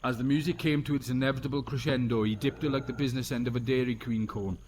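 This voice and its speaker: A man's voice: mid-range voice, fairly even intonation, quick pace, a little mellow, slight background noise, lightly acted. A man playing a bumbling, jokey officer in a sci-fi comedy audio drama. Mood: melodramatic reading. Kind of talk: audio drama comedy acting, partly reading aloud.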